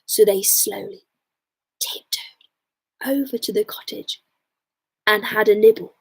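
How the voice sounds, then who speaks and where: A woman reading a children's bedtime story expressively, drawing out words and dropping into hushed, dramatic asides. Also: mid-range voice, fairly even intonation, a little slow, creaky, slightly rough.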